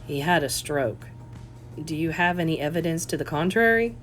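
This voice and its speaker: Woman doing a gruff but polite police detective guy, low voice, guarded n terse, audiobook, music behind. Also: expressive, moderate pace. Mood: guarded, matter-of-fact. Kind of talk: audiobook character voice.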